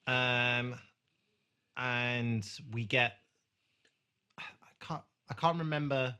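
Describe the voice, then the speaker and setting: A man chatting casually as a podcast host, recapping a TV drama in a halting way with searching pauses. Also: medium pitch, bright, forceful tone, clear, resonant tone.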